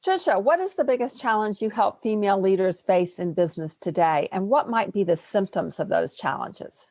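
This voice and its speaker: A woman host reads out a formal guest introduction in a measured, even way, then moves on to her questions. The sound is close and dry, with no room around her voice. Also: mid-range voice, dry recording. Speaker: podcast host.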